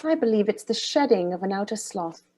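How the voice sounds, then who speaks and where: A woman's voice: medium pitch, lively intonation, brisk, mellow, close to an everyday voice. A woman playing a stern, stoical housekeeper in her sixties in a drama table read, speaking formally and solemnly.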